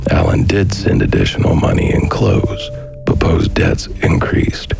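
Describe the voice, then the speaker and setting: A man reading scripted documentary narration in a measured, formal manner. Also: deep voice, flat delivery, gravelly. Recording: faint music behind the voice. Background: faint background music.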